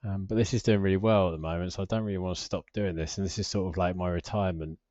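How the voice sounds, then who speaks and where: A British man in his forties with a low voice talks casually through a screen share. He rambles and hesitates, often putting himself down. The recording is slightly dull but quite dry. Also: steady tone, rapid-fire, a little mellow, dry recording. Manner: rambling, hesitant, self-deprecating. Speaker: personal finance vlogger.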